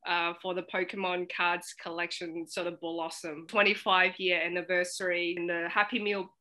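A woman's voice: fairly low pitch, flat delivery, moderate pace, pushed and bright. A young woman on a casual video call from home, joking and self-mocking, laughing often. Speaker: co-host. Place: home room indoors. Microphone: webcam on video call.

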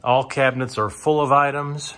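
Man narrating a halting walk-through tour. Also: medium pitch, steady tone, fairly bright tone. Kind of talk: informal narrated walk-through of sale items. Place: indoors, moving through rooms of a large house. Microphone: handheld phone or camera, held by the speaker.

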